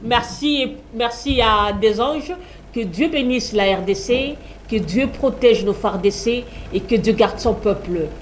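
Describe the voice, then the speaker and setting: A woman's voice: on the high side, unhurried, a little hiss or hum, low-quality recording. Congolese woman moderating a debate. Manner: polite, brief interjections. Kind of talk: moderating a talk show.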